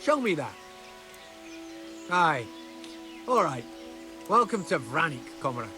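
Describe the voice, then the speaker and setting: A man's voice: fairly high pitch, very animated, slow pace, pushed and bright, soft background music, heavily acted. An actor playing a curt, suspicious male gate guard at a bandit camp in a video game. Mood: wary.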